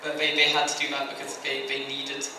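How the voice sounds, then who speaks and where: British man, even-toned, in a very echoey hall. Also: medium pitch, a little fast. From Scotland. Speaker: anime industry panelist. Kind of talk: casual panel talk with anecdotes. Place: large room at a fan convention. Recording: very echoey hall, distant mic, clear noise.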